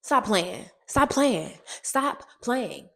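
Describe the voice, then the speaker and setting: A woman, an actress, sharing a personal testimony to the camera in a casual, animated, emphatic way, recorded on a film set. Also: fairly high pitch, very slow, vocal fry. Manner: animated, emphatic storytelling, often repeats phrases.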